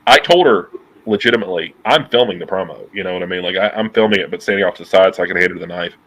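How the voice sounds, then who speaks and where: A nasal man in his thirties, chatting loose and profane on a video call. His tone is bright and forceful, sitting on the lower side of a man's range. He picks up over earbuds from a dim room at home. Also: rapid-fire, poor audio. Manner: profane, animated, gesturing a lot. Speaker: guest. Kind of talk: casual interview chat.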